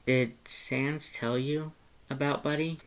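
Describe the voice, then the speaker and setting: A man voicing a gentle, tentative animal shelter worker in a story, speaking softly and politely with some hesitation. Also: medium pitch, steady tone, slow pace, pitched above the performer's own voice. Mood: tentative, friendly. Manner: soft-spoken, hesitant, polite.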